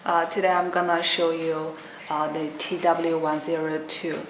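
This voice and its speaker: A young woman giving an unscripted product demonstration in short, simple, halting phrases. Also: low voice, little pitch movement. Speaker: product demonstrator for an electronics brand. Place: indoor room at a table with green backdrop. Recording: roomy echo, clearly noisy background.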